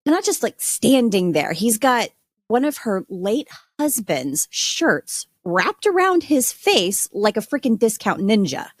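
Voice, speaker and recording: A woman's voice: a little high, very animated, measured pace, no echo, clean studio sound. A woman podcast panelist telling a true crime story in a wry, colourful, informal style.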